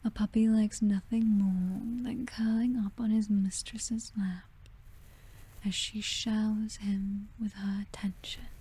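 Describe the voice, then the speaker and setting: A woman's voice: mid-range voice, level tone, breathy edge. A British woman playing a possessive, teasing vampire mistress in an intimate ASMR roleplay, whispering breathily. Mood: doting, possessive. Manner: slow, teasing, softly commanding.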